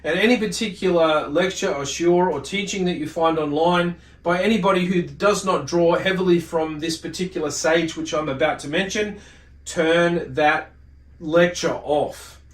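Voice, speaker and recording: A man giving an informal religious lesson, speaking in a halting, deliberate way and often correcting himself as he explains. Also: a little high. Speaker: religious teacher. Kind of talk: informal religious lecture, reading and explaining from a book. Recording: roomy echo, distant microphone.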